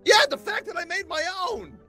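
A man's voice: extremely high pitch, a little fast, over loud music. A man playing an exasperated, brainy roommate in an improvised Minecraft roleplay, protesting and stammering pedantically. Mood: defensive.

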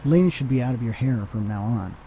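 Casting: man to play deferential, sheepish employee, creaky low-key voice, faint vehicle noise behind, podcast drama. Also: lively intonation, fairly quick, dark tone, low-quality recording. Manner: hesitant, deferential. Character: sheepish, put-upon male employee. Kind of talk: audio drama acting.

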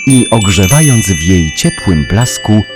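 A man narrating a children's story in a dramatic, measured storytelling manner, with music under the voice. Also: on the low side, expressive.

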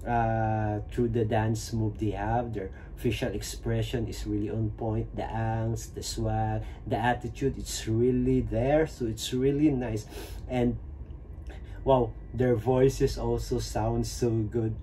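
A man's voice: on the low side, little pitch movement, takes it slowly, warm tone, slight background noise, rough recording. A man in his thirties with a Filipino accent gives casual, enthusiastic, admiring reaction commentary as a video host. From Philippines. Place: small plain indoor room.

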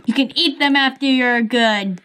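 A woman doing casual game roleplay, chatty and quick with plenty of fillers. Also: on the high side, bright, forceful tone. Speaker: roleplay player voicing several characters.

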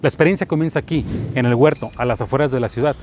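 A man's voice: medium pitch, fast talker, darkish tone, a little hiss or hum. A man with a Mexican accent, presenting a culinary travel show as a chef and host. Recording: music under the voice. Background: background music.

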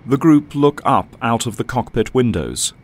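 A man's voice: medium pitch, big pitch swings, normal speed. A man narrating an audio drama in a dramatic, scripted storytelling style.

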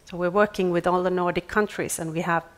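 A woman, giving a measured conference pitch on stage and restarting words now and then. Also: fairly even intonation. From Finland. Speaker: startup accelerator CEO.

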